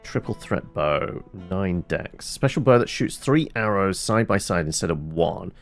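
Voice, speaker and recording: A man giving casual commentary on his own gameplay. He rambles and corrects himself, and his gravelly voice rises and falls a lot. He speaks slowly over faint game music. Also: deep voice, animated, dry recording. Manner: rambling, self-correcting, muttering while sorting inventory. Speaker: let's play host.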